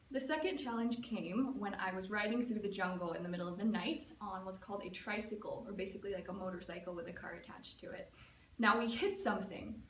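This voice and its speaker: A woman's voice: a little high, slight background noise. Chatty American woman in echoey room. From United States. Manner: casual, chatty, with frequent "like" and "um". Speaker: student giving a speech. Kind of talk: prepared personal storytelling speech. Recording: echoey room, mic at a distance, faint ambience.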